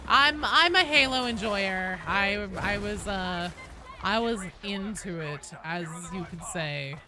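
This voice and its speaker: A woman streaming a game and chatting casually with viewers in a rambling, sweary, animated way. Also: mid-range voice, takes it slowly. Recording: clearly noisy background.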